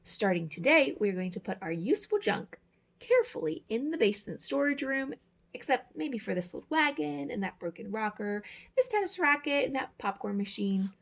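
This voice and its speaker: A woman's voice: a little high, very animated, moderate pace, gravelly. A woman reading a children's picture book aloud as a storyteller, with dramatic, emphatic phrasing. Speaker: storyteller, teacher.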